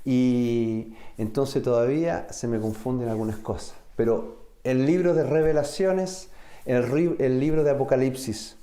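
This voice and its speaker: A man from the United States, a native English speaker, preaching a sermon in a deliberate way, pausing and searching for words. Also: mid-range voice, fairly even intonation, mellow. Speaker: pastor. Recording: roomy, echoing sound.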